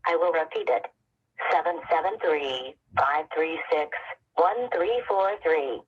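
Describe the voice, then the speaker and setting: A woman's voice: deep voice, unhurried, highs cut off, a little hiss or hum. A woman reading a formal, stilted scripted warning as a recorded phone message. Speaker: automated phone message caller.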